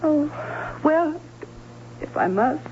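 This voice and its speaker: An actress playing a fearful, anxious aunt in an old radio drama, speaking in a halting, dramatic way. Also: female voice, sits high, lively intonation. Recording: muffled, hissy old radio broadcast.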